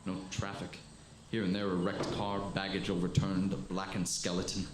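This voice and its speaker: A man performs a solemn lone survivor, a learned professor, telling his tale in a vintage radio drama. His voice is on the low side and his delivery is grave and literary. The room rings with echo over faint noise. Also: completely flat delivery, lightly acted. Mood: grim, weary. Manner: grave, deliberate, literary storytelling. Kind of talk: 1930s radio drama narration, monologue. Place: live performance before an audience in a hall. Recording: echoey live stage, faint noise.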